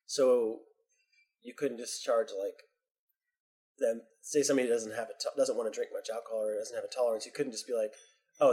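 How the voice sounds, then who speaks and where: Male interviewee, casual rambling bar chat, mid-pitched, loose and hesitant. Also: a little mellow. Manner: hesitant, rambling, laughs often.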